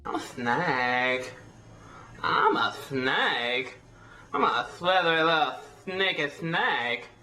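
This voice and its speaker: A woman putting on a sly man from a meme who calls himself a snake, drawing the words out slowly. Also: low-pitched, expressive, pushed and bright, echoey room, recorded from a distance, faint music behind, pitched below the performer's own voice, exaggerated voice. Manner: slow, drawn-out, sneaky delivery.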